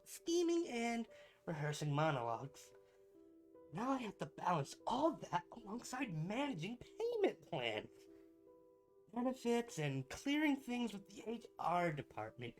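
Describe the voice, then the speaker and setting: A man's voice: high-pitched, full character voice. A man playing a pompous, melodramatic supervillain in a scripted ASMR roleplay, a bumbling rival who slips between grand speeches and chatty asides. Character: theatrical, bumbling supervillain, gloating yet secretly caring rival.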